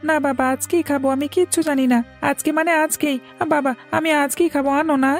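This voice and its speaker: A woman's voice: high-pitched, fairly even intonation, fast talker, soft background music. A woman voicing a stubborn, wheedling little boy in a cartoon, whiny and insistent. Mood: stubborn begging. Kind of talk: cartoon voice acting.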